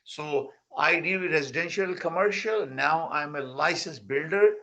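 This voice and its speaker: A man hosting an online real estate conference over a video call, talking in a halting way with repeated words. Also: fairly high pitch, pushed and bright, highs cut off. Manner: halting, repeats words, non-native phrasing. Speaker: host and founder of the conference. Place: Mississauga near Toronto.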